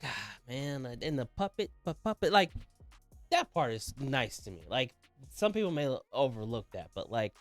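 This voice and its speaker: A man's voice: mid-range voice, sing-song, normal speed. A man hosting a music reaction video in casual, slangy commentary, enthusiastic and often repeating himself.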